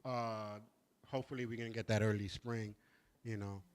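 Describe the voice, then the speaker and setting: A man from New York hosts a relaxed talk show from a studio. He chats loosely, laughs easily and stumbles over repeated words as he talks. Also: on the low side, normal speed, clear, resonant tone. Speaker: host. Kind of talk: casual chat.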